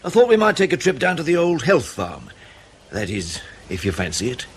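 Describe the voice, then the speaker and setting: A man playing a composed, evasive intelligence officer in a radio drama, speaking in a dry, understated, unhurried way. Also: mid-range voice, wildly swooping pitch, husky. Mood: casual, coaxing. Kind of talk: naturalistic radio drama dialogue.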